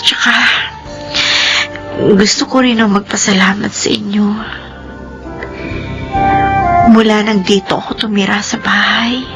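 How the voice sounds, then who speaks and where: Slow, emotional radio drama daughter played by an actress. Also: female voice, medium pitch, lightly acted, low-quality recording. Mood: hushed pleading. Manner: slow, emotional, earnest delivery. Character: remorseful, devoted adult daughter narrating her life. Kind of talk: Filipino radio drama acting. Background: music.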